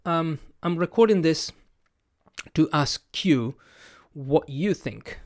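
Slow, hesitant Italian-accented man. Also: on the high side, lively intonation, a little mellow, dry recording, studio quality. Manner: hesitant, many fillers and self-restarts, thinking aloud. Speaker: podcast host and language educator. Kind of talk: casual reflective monologue to camera.

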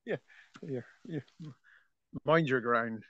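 A man's voice: mid-range voice, slow pace, fairly bright tone, no room sound. A man co-hosting a casual film podcast who laughs often and trails off into fillers. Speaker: podcast co-host. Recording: dry, dull lo-fi sound.

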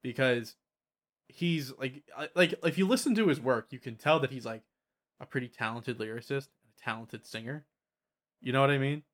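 A man's voice: mid-range voice, normal speed, a bit bright. Man chatting as a podcast host. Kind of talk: casual tabletop gaming podcast chat. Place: online voice call, recorded at own microphone.

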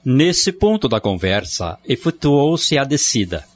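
A man's voice: mid-range voice, sing-song, a little slow, dull audio. A man with a Brazilian accent giving formal, dramatic scripted narration for a radio drama.

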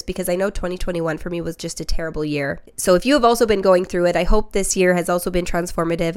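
Young woman podcaster, a bit nasal from being sick, smooth lower voice, earnest and encouraging toward the listener. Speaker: podcast host and spiritual reader. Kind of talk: solo reflective podcast monologue.

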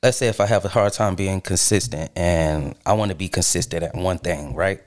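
A man from Charlotte, born in New York, talking as a podcast guest in an earnest, deliberate way. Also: low-pitched. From Charlotte, North Carolina, born in New York. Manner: earnest, deliberate, checks in with "right?". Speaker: guest musician. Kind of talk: casual conversation.

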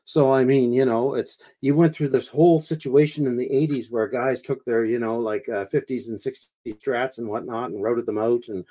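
A man's voice: medium pitch, steady tone, moderate pace, hardly any pauses, mellow. A man talking as a guest in a casual chat, rambling with lots of fillers and eager agreement.

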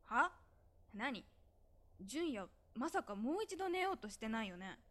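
A woman acts out a high-pitched teenage schoolgirl in a voice drama. She is bossy and exasperated, with an emphatic, scolding delivery and a teasing edge. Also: sing-song, measured pace, fairly bright tone. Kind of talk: Japanese voice drama phone-call dialogue.

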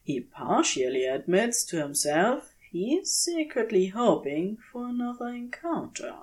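A woman narrating a scripted story as an audiobook reader, expressive and deliberate, with dramatic pauses. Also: mid-range voice. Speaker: audiobook reader of fan fiction.

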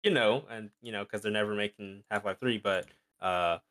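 A young man co-hosting a casual podcast, pausing often and filling gaps with "um" and "uh". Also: fairly low pitch, a bit bright. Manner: hesitant, many fillers. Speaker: podcast co-host. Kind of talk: casual chat.

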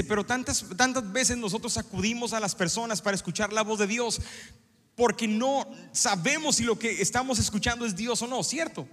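A middle-aged man preaching a sermon as a pastor, animated and joking, acting out little scenes as he talks. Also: very high voice. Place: church sanctuary. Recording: long hall reverb in a church.

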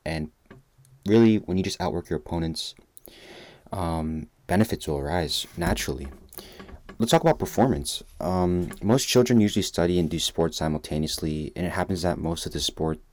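A man's voice: low-pitched, normal speed, darkish tone. A man interviewing a guest, casually affirming her answers before asking the next question.

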